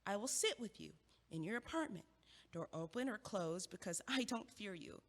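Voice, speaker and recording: An actress playing a sharp-tongued, impatient young university student who argues with quick, sarcastic wit in an audio drama. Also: female voice, medium pitch, animated, in a large hall. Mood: calm. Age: young adult. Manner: quick-witted, sarcastic, argumentative.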